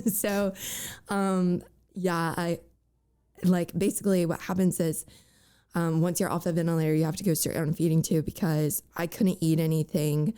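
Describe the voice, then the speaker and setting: Guest, young woman, raspy with voice cracks, lowish pitch, mellow tone, halting delivery. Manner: halting, many fillers and "like"s. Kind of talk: candid personal interview.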